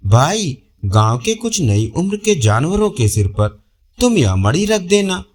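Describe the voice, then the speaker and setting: A man's voice: a little high, very animated, unhurried. A man voicing a scheming male monkey in a storybook tale, coaxing and persuasive.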